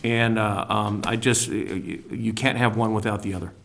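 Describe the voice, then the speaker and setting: A man on a city council speaking earnestly and slowly, with some hesitation. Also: lowish voice, fairly even intonation, fairly bright tone. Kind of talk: council meeting remarks. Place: council chamber.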